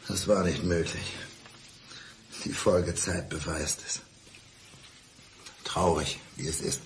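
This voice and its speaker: An actor in a German radio crime drama playing a lonely, bitter blind divorced man who speaks in a probing, deliberate way. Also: sits low, steady tone, vocal fry, slight background noise, exaggerated voice, low-quality recording. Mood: wistful, reproachful.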